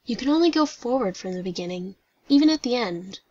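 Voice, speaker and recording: Serene loving goddess voiced by a woman, devoted daughter. Breathy, highish, comforting, radio play, slightly muffled recording. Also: animated, brisk, dark tone, dry recording. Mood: soothing, earnest. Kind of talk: dramatic reading like a radio play.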